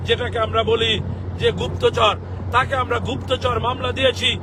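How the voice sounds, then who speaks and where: Man, Bangladeshi accent, very high pitch, political address, emphatic, halting short phrases, noisy urban outdoor recording with vehicles. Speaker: political activist and legal expert. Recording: loud outdoor urban traffic noise.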